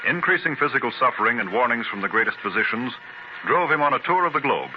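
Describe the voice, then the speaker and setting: A man's voice: medium pitch, big pitch swings, fast, lightly acted. A man narrating a 1930s radio biography drama in a formal, dramatic announcer's delivery. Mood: grave. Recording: noisy, dull 1930s radio transcription.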